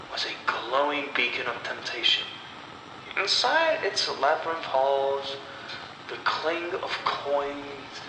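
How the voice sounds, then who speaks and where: A man tells a horror story with dramatic, deliberate phrasing. His delivery is slow and his pitch sits in the middle range for a man. The recording is very reverberant, with clear noise behind him. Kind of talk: scripted horror story narration. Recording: echoey, long reverb, clear background noise.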